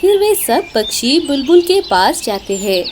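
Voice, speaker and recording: A woman's voice: sits high, big pitch swings, a little slow, a little mellow. A woman narrating a scripted children's story. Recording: clear background noise with animal, bird sounds.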